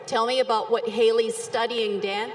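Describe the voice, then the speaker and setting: A woman's voice: on the high side, steady tone, moderate pace, noisy background. Bubbly reporter woman in roomy stands. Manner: bubbly, encouraging. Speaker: roving reporter. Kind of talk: live field interviews. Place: outdoor stadium stands. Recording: roomy with crowd ambience. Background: crowd ambience.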